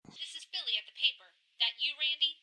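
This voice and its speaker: An actress playing an eager, persistent newspaper office assistant with a brisk, insistent manner in an old-time radio drama. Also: female voice, high voice, pushed and bright, slight background noise, low-quality recording. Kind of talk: 1950s radio drama dialogue.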